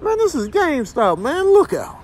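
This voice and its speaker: A man's voice: very high voice, wildly swooping pitch, moderate pace, a little mellow, a little hiss or hum, voice pushed up, heavily acted. A man voicing a flustered male animal in a comic voiceover, protesting with exasperation to his girlfriend. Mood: exasperated.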